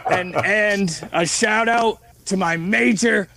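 A man co-hosting a podcast in casual chat, his voice hoarse and partly lost from celebrating. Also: sits high, slow. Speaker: podcast co-host. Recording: slight background noise, clicks.

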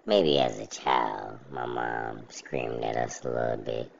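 Very deep, gravelly, slow-talking man. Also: slight background noise. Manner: steady, plainspoken, self-described monotone. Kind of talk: casual monologue to camera. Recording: dull lo-fi recording.

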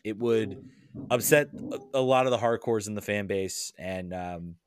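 A man's voice: on the low side, measured pace, dry recording, studio quality. A man chatting casually on a podcast as a soccer fan, rambling with many restarts and fillers.

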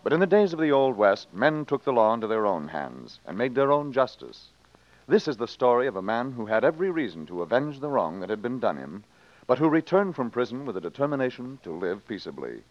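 A man's voice: mid-range voice, very animated, brisk, dry recording, natural voice. A man playing a dramatic American announcer, reading a scripted introduction in vintage radio style. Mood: solemn, dramatic. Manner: dramatic, declamatory. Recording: dull vintage radio recording.

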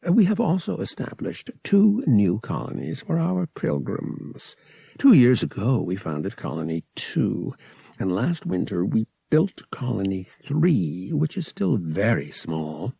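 A man voicing an alien priest who guides pilgrims, speaking in a formal, measured, explanatory way. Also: lowish voice, very animated, gravelly, mellow, breathy edge, dry recording, exaggerated voice. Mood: formal, proud.

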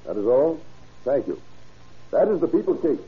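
An actor playing a stern, formal prosecutor who puts clipped questions in a 1930s radio courtroom drama. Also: male voice, mid-range voice, very animated, normal speed, heavy background noise, close to an everyday voice. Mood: formal, probing. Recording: muffled, rough old radio recording.

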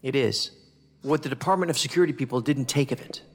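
A man's voice: medium pitch, quick pace, lightly acted. A man playing a sardonic, wordy, philosophical federal investigator in a science fiction audio drama. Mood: dry, musing. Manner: wry, wordy, lecturing. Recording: long hall reverb, music underneath.

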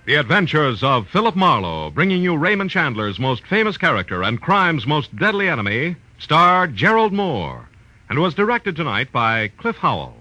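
Casting: male 1940s radio announcer, bright projecting voice, fairly high, animated formal credit reading, dull vintage broadcast sound. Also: moderate pace, soft background music. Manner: formal, declamatory.

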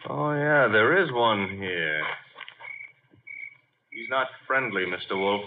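An actor playing a wisecracking, flippant detective's assistant in a 1950s radio drama. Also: male voice, fairly low pitch, lively intonation, slow. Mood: wry. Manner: flippant, wry, snappy. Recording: dull, noisy old radio recording.

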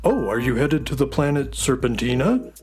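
A man voicing a kindly, excitable, helpful space horse in a children's audio story, with folksy, theatrical emphasis. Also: medium pitch, moderate pace, faint music behind, lightly acted. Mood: kindly, musing. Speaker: voice actor.

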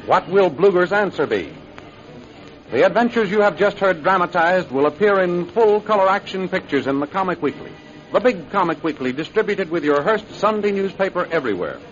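A man's voice: high voice, lively intonation, fairly quick. A man with an American accent announcing and narrating a scripted radio serial in 1930s style. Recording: muffled vintage radio recording. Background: music.

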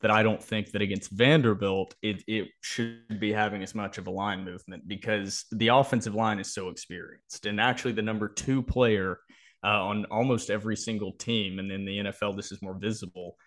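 A man's voice: lowish voice, steady tone, a little fast, a bit bright. A man co-hosting a sports podcast, talking through analysis and predictions in a casual, explanatory way.

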